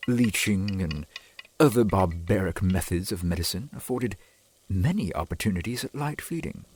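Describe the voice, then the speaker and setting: A man playing a courtly, adoring centuries-old vampire boyfriend in an ASMR roleplay, speaking in formal, old-fashioned phrases with a husky voice. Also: on the low side, a little mellow. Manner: formal, archaic, genteel phrasing.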